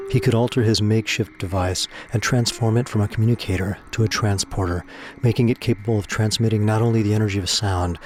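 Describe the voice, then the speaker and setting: A man's voice: on the low side, a little mellow, slight background noise. A man delivering scripted, dramatic story narration for a science fiction audio drama.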